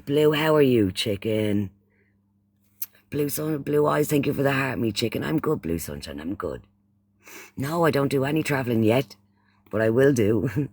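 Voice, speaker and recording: A man's voice: medium pitch, animated, moderate pace, pauses often, darkish tone, breathy edge, no echo. A man from Ireland with an Irish accent hosting a casual livestream chat, often repeating phrases and using pet names for those he addresses. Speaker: livestream host.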